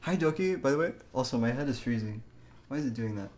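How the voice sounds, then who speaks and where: A man's voice: medium pitch, lively intonation, fast talker, darkish tone, slight background noise. A man hosting a live stream in a casual, chatty way, giggly and easily sidetracked. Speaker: livestreamer. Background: background music.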